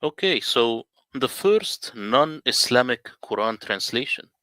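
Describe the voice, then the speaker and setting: A man's voice: mid-range voice, lively intonation, slow pace, sharp tone, dry recording. A man from the Middle East whose first language is Arabic, earnestly telling his story in casual livestream talk. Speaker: guest translator.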